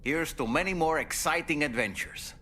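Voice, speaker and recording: A man's voice: a little high, normal speed, bright, forceful tone, a little hiss or hum. An actor playing a courteous, loyal man living as a doll in a video game, speaking formally and politely. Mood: courteous, earnest. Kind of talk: scripted game cutscene dialogue.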